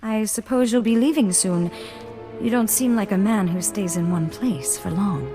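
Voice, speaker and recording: A woman's voice: mid-range voice, moderate pace, warm tone, natural voice. A woman plays a refined sci-fi noblewoman for a video game. She speaks formally and with poise, with a flirtatious side. Long hall reverb surrounds her voice, with music behind it. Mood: coolly flirtatious. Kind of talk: video game cutscene acting.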